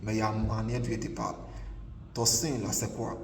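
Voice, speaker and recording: A man's voice: on the low side, completely flat delivery, a little fast, a little hiss or hum. Ghanaian man preaching in an echoey hall. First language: English. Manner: emphatic, repetitive, filler "là" everywhere. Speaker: prophet preacher. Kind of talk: online sermon. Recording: echoey hall, distant mic, faint ambience.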